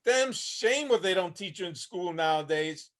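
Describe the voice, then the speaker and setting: Guy acting a bitter old homeless vietnam vet, kinda high voice, tired plain talk, haunted, play reading. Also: animated, moderate pace, fairly bright tone. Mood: bitter, scolding. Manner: gritty, plainspoken, world-weary storytelling. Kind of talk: dramatic monologue in a staged play reading. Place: online video call reading.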